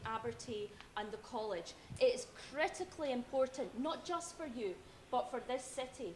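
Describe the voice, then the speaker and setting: A woman giving a measured, pointed campaign speech as a candidate at a debate. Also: on the high side. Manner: measured and pointed, criticizing opponents. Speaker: election candidate. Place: university debate hall. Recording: echoey hall, faint noise.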